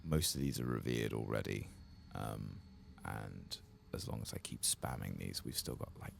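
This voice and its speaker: A man doing soft-spoken, whispered ASMR game commentary in a hushed, halting, gravelly voice. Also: slight background noise. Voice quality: deep, gravelly, creaky. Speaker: game streamer, ASMR host.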